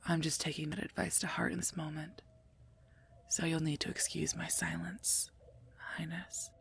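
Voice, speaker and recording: A woman voicing a sardonic female werewolf knight and mercenary bodyguard in an ASMR roleplay, husky and gravelly, dry and curt. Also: deep voice, flat delivery, normal speed, slight background noise. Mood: dry, menacing. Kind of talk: scripted ASMR roleplay, addressed to listener.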